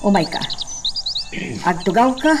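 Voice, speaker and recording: A woman with an Ilocano accent playing a troubled, gently probing hacienda mistress in a radio drama, measured and confiding. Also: medium pitch, expressive, close to an everyday voice. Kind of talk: slow-paced radio drama acting. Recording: distant mic, clearly noisy background.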